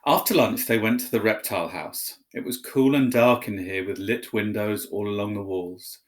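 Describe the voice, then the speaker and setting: A man's voice: on the low side, moderate pace. A man telling a story, reading aloud expressively as a narrator.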